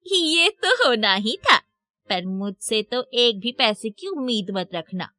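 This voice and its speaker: A woman's voice: on the high side, expressive, slow pace, sharp tone, exaggerated voice. Woman as harsh, scolding mother-in-law. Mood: cold, threatening. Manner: curt, scornful, bossy. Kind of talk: Hindi moral story cartoon dialogue.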